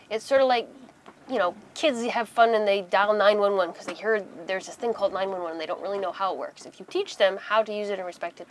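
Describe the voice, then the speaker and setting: A woman's voice: mid-range voice, a little hiss or hum. A woman in her thirties giving a casual, conversational tutorial as an instructor, recorded indoors on a clip-on mic. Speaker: sailing vlogger and instructor. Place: small wood-panelled boat cabin, indoors.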